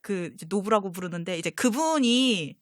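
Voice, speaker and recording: A woman's voice: mid-range voice, lively intonation, normal speed, no echo, clean studio sound. A young woman in casual conversation, telling personal anecdotes in an effusive, self-deprecating way. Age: young adult. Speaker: guest, fan of voice actors.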